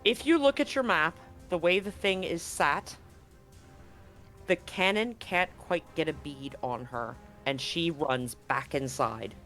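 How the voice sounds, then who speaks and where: A man with a fairly high-pitched voice runs a tabletop horror game. He narrates dramatically and graphically, dropping in casual slang. Light music plays behind him. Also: takes it slowly, a bit bright. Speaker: game master.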